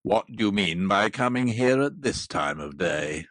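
A woman voicing a gruff old male miser turned jolly, growling and hearty. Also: very deep voice, expressive, unhurried, no echo, studio quality, voice pushed down, full character voice. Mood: mock gruff.

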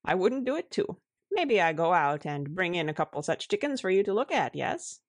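A woman voicing a shrewd, good-natured, calm housewife who speaks wryly in foreign-tinged broken syntax. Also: lowish voice, moderate pace, no room sound.

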